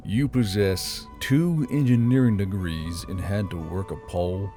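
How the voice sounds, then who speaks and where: A man voicing a gruff, bearded military officer, dry but kindly, in low-key audiobook dialogue. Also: measured pace, warm tone. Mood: angry muttering. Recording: music behind the voice.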